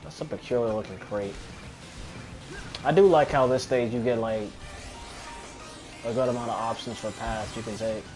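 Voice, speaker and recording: A man's voice: medium pitch, normal speed, long pauses, darkish tone. A young man giving offhand, chatty, profane commentary on a video game playthrough, with game music behind him. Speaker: gaming video host.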